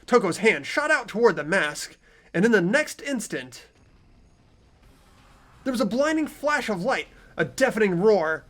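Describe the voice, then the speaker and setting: Expressive, high-pitched man reading dramatically. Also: a little slow, pauses often. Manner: theatrical, expressive delivery. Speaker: player voicing and narrating in a fan playthrough. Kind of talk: dramatic visual novel read-aloud with casual asides.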